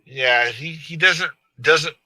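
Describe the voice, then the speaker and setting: A man's voice: fairly high pitch, slow, pushed and bright, no room sound. A man hosting a casual live stream, rambling and joking with his viewers and a co-host. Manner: rambling, joking, laughs often.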